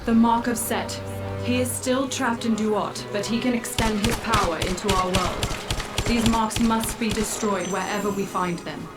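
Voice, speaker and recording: A regal, ancient goddess voiced by a woman speaks in a medium-pitched voice over loud music. She is a solemn video game guide, formal and measured in every phrase. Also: fairly even intonation, close to an everyday voice. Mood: stern resolve. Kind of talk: video game character acting.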